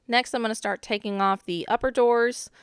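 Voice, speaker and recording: Slightly hoarse young woman. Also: on the high side, moderate pace, no echo, studio quality. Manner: chatty, relaxed, with frequent "um" asides. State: a little hoarse from a cold. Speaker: DIY renovation vlogger. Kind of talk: casual voice-over narration of demolition footage.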